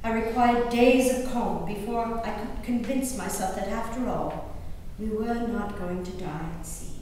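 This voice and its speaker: A woman performing a historical diary as a resolute, haunted gentlewoman recalling peril at sea, in theatrical, measured phrases with dramatic pauses. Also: fairly high pitch, close to an everyday voice. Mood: resigned, reflective. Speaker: stage performer. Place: live reading in a reverberant hall. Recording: distant echoey hall, noisy room recording.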